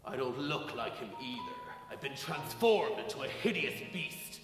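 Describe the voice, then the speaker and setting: Man playing hideous beast prince, wounded and angry, grand stage delivery, very echoey theatre, loud music. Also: medium pitch, animated, normal speed, distant mic, close to an everyday voice. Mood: solemn, sorrowful. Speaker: stage pantomime actor.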